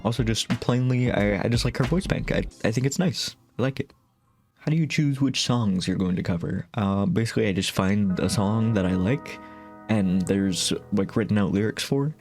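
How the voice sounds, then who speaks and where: Rambling low-voiced man, self-deprecating chat. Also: a little mellow. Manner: rambling, self-deprecating, many fillers and restarts, laughs often. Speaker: vocal synth song cover creator. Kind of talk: casual FAQ chat to camera.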